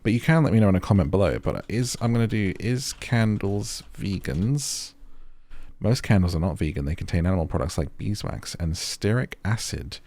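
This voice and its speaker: A man's voice: fairly low pitch, expressive, moderate pace, a little mellow. A man doing casual gaming commentary, chatting and musing to himself and to viewers as he plays and reads out on-screen text. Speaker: gaming video host.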